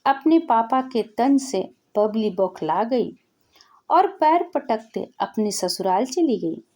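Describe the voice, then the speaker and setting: High-pitched, slow woman storyteller. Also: lively intonation, mellow. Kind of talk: scripted narration of a family story.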